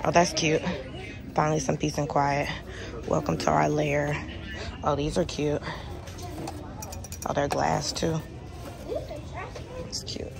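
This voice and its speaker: A woman's voice: deep voice, little pitch movement, slow, creaky, long pauses. Young woman, lifestyle vlogger, easy talkative delivery, slight background hum. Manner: chatty, addresses viewers as y'all. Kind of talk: casual chat to camera. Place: home, then shopping in a store.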